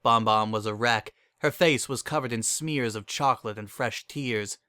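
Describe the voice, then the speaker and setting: Male narrator for a dramatic story reading: bright, forward tone, very dry recording. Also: medium pitch, normal speed, clear, resonant tone, no echo.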